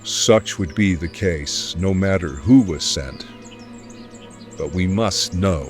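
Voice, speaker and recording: A male actor plays a stern medieval friar in a radio-style drama. He speaks slowly in a low voice, terse and formal, with music clearly behind him. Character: stern, impatient medieval friar, James, practical and blunt. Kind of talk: dramatic audio drama acting.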